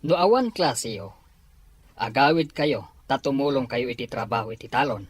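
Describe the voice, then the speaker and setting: A man acting a husky, stern Ilocano father. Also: medium pitch, lively intonation, normal speed, dry recording. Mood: grudging. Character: stern, hard-hearted farming father. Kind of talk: radio drama acting.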